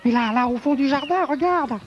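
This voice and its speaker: A man voicing a big, friendly, childlike male monster from a children's TV show, in a high, goofy voice. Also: brisk, highs cut off. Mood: protective. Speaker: children's TV puppet character. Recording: noisy old TV recording.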